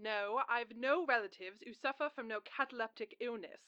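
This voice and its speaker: Woman as an irritable phone stranger, bright forceful tone, dull band-limited line, curt, radio drama. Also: on the high side, normal speed, clear, resonant tone. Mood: irritated. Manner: curt, dismissive. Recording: dull distant telephone-line sound.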